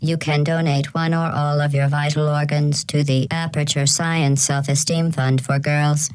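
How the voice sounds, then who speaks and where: A woman playing a deadpan, menacing female artificial intelligence in a video game, measured and falsely courteous, with synthetic robot processing. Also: low-pitched, smooth, no echo, rough recording. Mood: coldly polite. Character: polite, coldly menacing female artificial intelligence running deadly tests. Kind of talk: scripted video game announcements.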